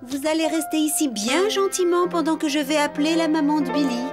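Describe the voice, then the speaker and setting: A woman voicing a gentle but firm robot mother in a French cartoon dub. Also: high voice, fairly quick, close to an everyday voice. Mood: calm, caring. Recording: voice under loud cartoon music.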